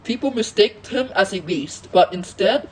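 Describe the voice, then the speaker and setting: A woman's voice: medium pitch, lively intonation, moderate pace, background noise. A woman announcing a made-up emergency alert in the manner of an amateur broadcast.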